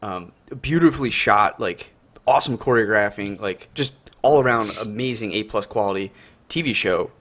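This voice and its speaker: A man's voice: fairly low pitch, moderate pace, a bit bright. A man co-hosting a podcast over an online call, chatting casually in an enthusiastic, slangy way. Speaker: podcast co-host. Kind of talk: casual group chat.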